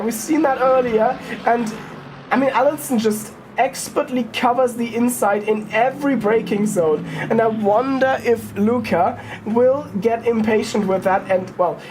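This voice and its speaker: A woman's voice: a little high, a little mellow, a little hiss or hum, poor audio. A woman giving casual live commentary on a sim race, thinking aloud and correcting herself as she goes. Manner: informal, thinking aloud, hesitant self-correcting.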